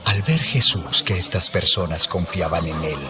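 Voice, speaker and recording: A man's voice: sits low, moderate pace. A man narrating a dramatized scripture reading as a scripted storyteller. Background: music.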